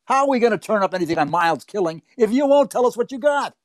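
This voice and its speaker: An actor playing a hesitant, conciliatory police detective, plain-spoken and halting, in 1940s radio drama style. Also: male voice, sits high, expressive, no room sound, clean studio sound. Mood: pleading.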